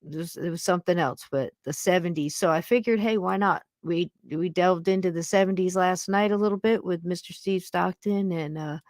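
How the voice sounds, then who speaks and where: Low-voiced, folksy woman in her sixties. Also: moderate pace, hardly any pauses, darkish tone, no echo, studio quality. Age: 60s. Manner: affectionate, folksy, rambling. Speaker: podcast host. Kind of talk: casual chat to live listeners.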